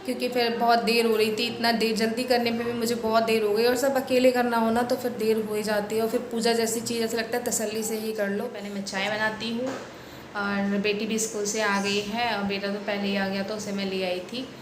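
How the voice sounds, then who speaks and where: Woman, hoarse, flat even delivery, step-by-step home vlog narration. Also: a little high, fairly quick, a little hiss or hum. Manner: chatty, explaining each chore step by step. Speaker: vlogger.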